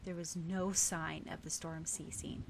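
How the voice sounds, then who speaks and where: A woman's voice: deep voice, flat delivery, unhurried, gravelly, darkish tone, slight background noise. A woman reading scripted fiction as an audiobook narrator.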